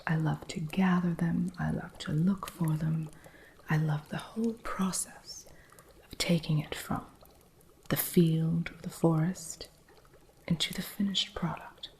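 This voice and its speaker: A woman voicing an eccentric witch shopkeeper who mentors her apprentice, speaking in soft, unvoiced whispers for an ASMR roleplay. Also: fairly low pitch, vocal fry, slight background noise.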